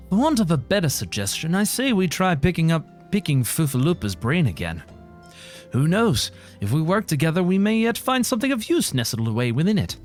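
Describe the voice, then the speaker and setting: A man voicing a composed, wry adventurer from a fantasy video game, reading in a measured, articulate, theatrical way. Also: a little high. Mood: wry, encouraging.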